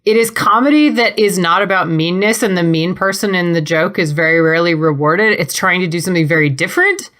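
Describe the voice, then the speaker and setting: Female podcast panelist, lowish voice, emphatic and admiring conversational delivery. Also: lively intonation, brisk, hardly any pauses, a bit bright. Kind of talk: casual chat.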